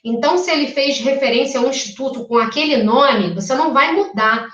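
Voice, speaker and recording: A woman's voice: high-pitched, fairly quick, a bit bright, dull audio. Carioca woman teaching, roomy and distant. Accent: Carioca Brazilian Portuguese. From Rio de Janeiro, Brazil. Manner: chatty, checks in with "tá?" and "né?". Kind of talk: live online exam-prep lecture.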